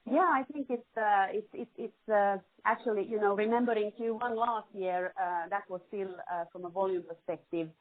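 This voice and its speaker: Narrowband phone line, dry sound, woman executive, careful measured answers, conference call Q&A. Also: mid-range voice, dry recording. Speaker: company CFO answering analysts on a conference call. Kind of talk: spontaneous business Q&A on an earnings call. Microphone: telephone.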